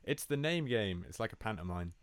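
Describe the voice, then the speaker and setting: Young man from Brazil, Portuguese native, podcast co-host; deadpan ribbing, low-ish voice, dry mic. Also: brisk, no room sound. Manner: dry, teasing banter with swearing. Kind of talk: casual chat.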